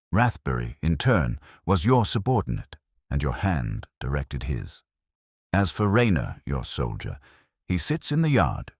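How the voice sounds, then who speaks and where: A man voicing a shrewd, calmly relentless detective in an audiobook mystery, speaking in formal, measured, incisive phrases. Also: a bass voice, breathy edge, no room sound, clean studio sound, heavily acted.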